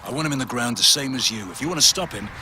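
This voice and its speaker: An actor playing a betrayed, resolute soldier in a video game cutscene, speaking in a terse, grave way. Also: male voice, mid-range voice, animated, very fast, background noise, natural voice. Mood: grimly resolute.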